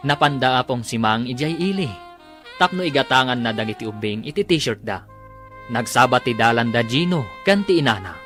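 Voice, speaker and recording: A man's voice: mid-range voice, fairly bright tone. Ilocano guy announcing and recapping a radio drama, scripted read, some music under him. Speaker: announcer and narrator.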